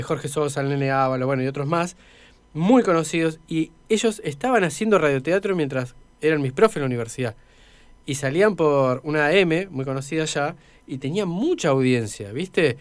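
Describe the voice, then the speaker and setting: A man's voice: fairly high pitch, dry recording. An Argentine man hosting a conversational radio interview in a chatty, informal way. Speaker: radio host.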